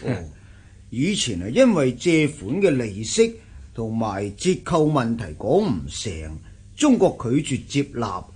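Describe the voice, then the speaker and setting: Radio drama businessman: defeated yet probing, plaintive, very raspy voice with wide swings. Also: medium pitch, very animated, a little slow, a little mellow, full character voice. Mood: curious, probing. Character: downcast, wheedling businessman fallen on hard times. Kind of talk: Cantonese radio drama acting.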